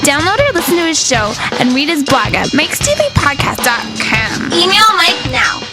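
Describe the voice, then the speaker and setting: A high-voiced woman reads the show's closing credits in a bright, upbeat way. Loud music plays under her voice. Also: sing-song, normal speed. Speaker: announcer. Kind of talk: scripted show credits.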